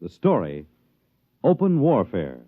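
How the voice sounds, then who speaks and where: Male announcer for vintage radio opener: low voice, hugely varied intonation, portentous, tinny band with music behind. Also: huge pitch swings, unhurried. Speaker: radio announcer, series narrator. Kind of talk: dramatic 1950s radio introduction.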